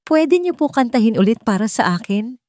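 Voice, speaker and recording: A woman narrator acts out a small, sickly Filipino boy in a love-story drama. The voice is lifted high and is soft, polite and childlike, recorded dry. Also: big pitch swings, normal speed, no echo. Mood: wistful. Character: small, sickly, polite little boy missing his mother.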